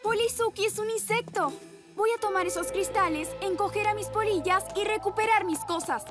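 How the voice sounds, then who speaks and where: A woman's voice: very high-pitched, normal speed, over music. A woman playing a bratty, haughty young girl villain in a Latin American cartoon dub, taunting and self-centred. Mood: petulant.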